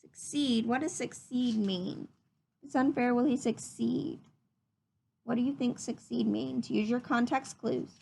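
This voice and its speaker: A woman's voice: fairly high pitch, unhurried, gravelly, darkish tone, slight background noise, low-quality recording. A woman teaching young children through a read-aloud, explaining things simply and putting questions to her listeners.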